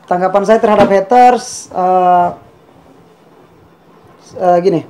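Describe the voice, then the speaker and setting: A man, answering casually as an interviewed YouTuber, plain-spoken and a little halting. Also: high-pitched, warm tone. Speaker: YouTuber and musician being interviewed.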